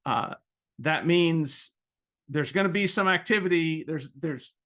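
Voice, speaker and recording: A man with a somewhat higher-pitched voice explains rules in an informal presentation. His phrasing is halting, with frequent ums and restarts as he works through each point. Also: a bit bright. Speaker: club president presenting contest rules.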